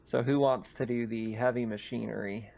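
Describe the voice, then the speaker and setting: A man running a tabletop roleplaying game as game master, conversational and halting as he thinks aloud. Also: medium pitch, fairly even intonation, vocal fry, darkish tone, highs cut off.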